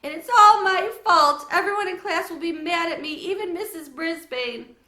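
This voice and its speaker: A woman voicing a distraught little girl in a storybook, with a loud, dramatic, tearful wail. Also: very high voice, moderate pace, echoey room, voice pushed up. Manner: wailing, dramatic, tearful delivery.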